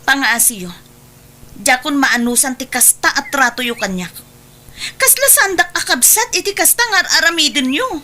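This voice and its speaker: Wounded older sister, Filipino accent, bright high voice, pleading, emotional, radio drama. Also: female voice, animated, moderate pace. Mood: indignant, pleading. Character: Imelda, hurt, generous older sister in family drama. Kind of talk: Ilocano radio drama acting.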